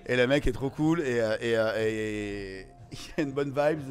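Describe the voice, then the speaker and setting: A man's voice: medium pitch, moderate pace. A man in his thirties who organizes events talks casually and enthusiastically in slang into a handheld mic in a restaurant booth. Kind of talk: casual interview. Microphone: handheld reporter mic.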